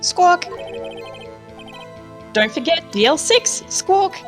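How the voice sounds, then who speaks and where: A shrill parrot for a game dub. It parrots short phrases slowly in an extremely high register, breaking into squawks. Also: female voice, big pitch swings, soft background music, heavily acted. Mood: squawking. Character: squawky female pet parrot, shrill and repetitive.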